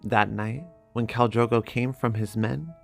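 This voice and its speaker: Guy narrating a fantasy audiobook, measured and dramatic, mid pitch with some fry, music under him. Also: fairly even intonation.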